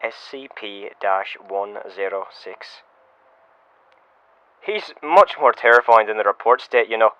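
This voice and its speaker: A man playing a shaken security officer, giving a measured first-person incident report as a scripted audio log. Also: fairly low pitch, lively intonation, clean tone. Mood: tense, unsettled. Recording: muffled lo-fi radio-style sound, music behind. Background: music.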